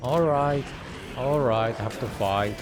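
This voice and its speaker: A man's voice: medium pitch, measured pace. A man giving casual, informal gameplay commentary as a streamer, muttering his reactions. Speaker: video game streamer. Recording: game music behind the voice. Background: game music.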